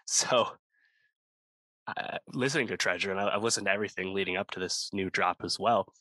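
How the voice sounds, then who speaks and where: A man's voice: low-pitched, fairly quick, pushed and bright, no echo. A man co-hosting a music review podcast, talking casually and rambling with lots of fillers and restarts. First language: English speaker, not Korean. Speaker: podcast co-host.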